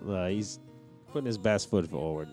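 A man's voice: low voice, normal speed, mellow. A man co-hosting a podcast, chatting casually and commenting on songs, with music playing behind him. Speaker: podcast co-host.

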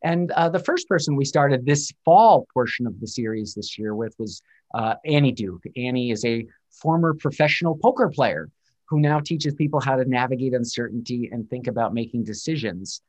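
A man's voice: mid-range voice, expressive, normal speed, darkish tone. Man in his forties, chatty host running a talk series and leaning on fillers. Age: 40s. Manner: chatty, filler-heavy, self-deprecating. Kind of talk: webinar hosting and interviewing.

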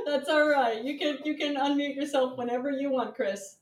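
A woman's voice: high-pitched, expressive, normal speed, echoey room. A woman chatting casually as a co-host, agreeable and speaking in short interjections. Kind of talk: casual chat.

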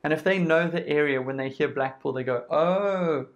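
A man's voice: on the high side, normal speed. A man with a South African accent, giving a sermon in a conversational way and acting out what people say. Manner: conversational, uses quoted voices and lists. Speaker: preacher.

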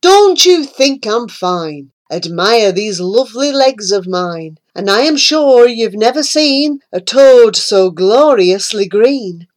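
A woman voicing a big, boastful, friendly male toad in a storybook poem, speaking loudly and heartily. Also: a little high, expressive, slow pace, hardly any pauses. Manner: loud, hearty, pleased with himself.

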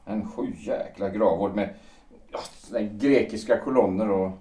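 Male actor as world-weary vain playwright, wry and musing, mellow, distant from the mic, period audio drama. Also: lowish voice, natural voice. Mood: wry, flippant. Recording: roomy echo, distant from the mic.

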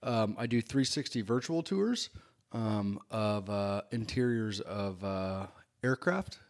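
A man's voice: lowish voice, expressive, slow pace. A man in his forties, a photographer, chatting as a podcast guest in a friendly, self-deprecating way with light jokes. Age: 40s. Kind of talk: casual conversational interview.

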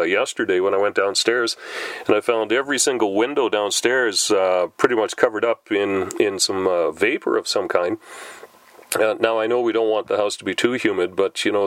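A middle-aged man hosting a podcast, a little stuffed up from a cold, talking casually in an unhurried way with many "uh" pauses. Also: fairly low pitch, dry recording. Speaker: podcast host. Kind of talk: casual solo podcast talk.

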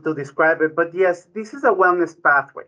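A man's voice: on the high side, fairly quick, sharp tone. A man, a physician explaining health in conversation, often checking in with "right?". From Ecuador. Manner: frequent "right?" tag questions, restarts. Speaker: physician guest. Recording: dull lo-fi audio.

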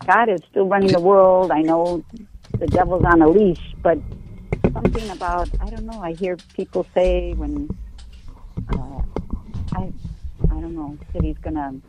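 A woman's voice: fairly low pitch, steady tone, measured pace, pauses often, very noisy. A woman calling in to a radio show, hesitantly searching for words as she asks her question. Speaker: caller. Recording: dull phone-line caller audio.

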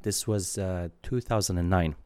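A man in his thirties from Sweden talks in a deep, low voice. He answers in a thoughtful, halting way, full of fillers and restarts. The sound is close and dry. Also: steady tone, dark tone, clear, resonant tone, no echo. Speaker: guest. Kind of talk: interview answers.

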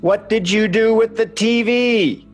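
An actor playing an aggrieved, sentimental ex-husband and sports fan in a radio play, speaking plaintively. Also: male voice, sits high, measured pace, faint music behind. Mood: indignant.

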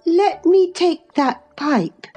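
A woman's voice: very high voice, expressive, extremely slow, warm tone, soft background music, voice pushed up, heavily acted. A woman voicing a petulant, pleading young woman from a novel, earnest and insistent.

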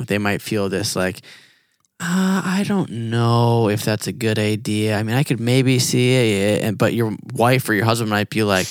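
Man, late twenties, podcast co-host, earnest and emphatic, lowish voice, dry close mic. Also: clean tone, no room sound. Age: 20s. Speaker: youth pastor podcast co-host. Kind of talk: casual podcast chat.

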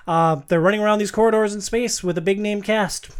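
A man's voice: sits high, fairly even intonation, a little fast, clear, resonant tone. A man hosting a casual podcast chat, talking in an informal, slangy and joking way. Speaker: podcast host. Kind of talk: casual chat with read-out movie reviews.

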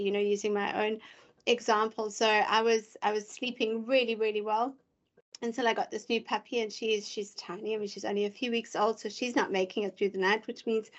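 A woman hosting a podcast interview, speaking in a husky, short-on-sleep voice with an upbeat, chatty manner. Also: on the high side, lo-fi sound. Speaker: podcast host.

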